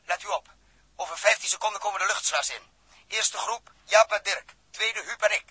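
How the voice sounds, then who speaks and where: Decisive spaceship captain, very sharp husky voice, terse orders, dry close sound, 1960s radio drama. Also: male voice, high-pitched, moderate pace, dry recording, heavily acted. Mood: brisk, commanding. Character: commanding male spaceship captain, urgent and decisive. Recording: old radio recording.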